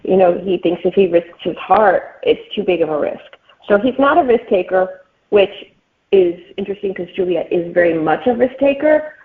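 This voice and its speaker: A woman's voice: fairly low pitch, animated, moderate pace, phone-quality sound. A woman speaking as an author guest in a casual interview, explaining things informally with frequent filler phrases. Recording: thin band-limited call sound.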